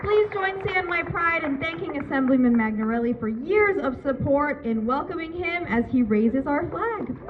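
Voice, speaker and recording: Female ceremony MC, high register, making introductions, dull-sounding outdoor recording with loud ambient noise. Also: expressive, moderate pace, hardly any pauses. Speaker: event host. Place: outdoors at a rainy public ceremony.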